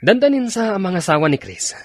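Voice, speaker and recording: A man's voice: high-pitched, sing-song, brisk, no echo. An actor with a Filipino accent playing a sociable man chatting with an old comrade in a radio drama.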